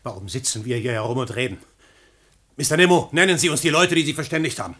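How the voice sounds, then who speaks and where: Angespannten Verschwörer, raue, scharfe Stimme. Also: male voice, medium pitch, fast, bright, forceful tone. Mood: urgent. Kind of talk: German radio play acting.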